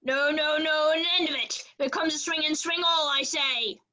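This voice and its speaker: A woman playing a rough, fearful old male sea captain who craves rum, in a radio play with sailor dialect. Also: high voice, fairly even intonation, moderate pace, heavy background noise, natural voice. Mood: defiant.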